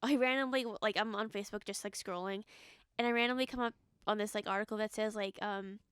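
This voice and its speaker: A woman's voice: medium pitch, steady tone, fast, fairly bright tone, clean tone, no echo, clean studio sound. A woman on a casual podcast, speaking hesitantly with lots of fillers. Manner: hesitant, lots of likes and ums. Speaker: podcast co-host. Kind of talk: casual chat.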